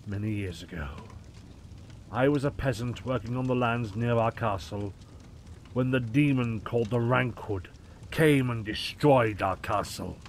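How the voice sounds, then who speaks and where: A man's voice: medium pitch, slight background noise, natural voice. A man playing a haunted aging medieval knight, solemnly narrating his confession in archaic words for an audio drama.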